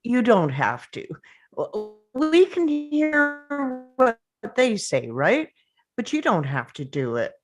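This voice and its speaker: Uncertain, accommodating spouse in their fifties read by a woman; slow, hesitant table-read delivery with lively inflection. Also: fairly high pitch, faint music behind, heavily acted. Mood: concerned, soothing.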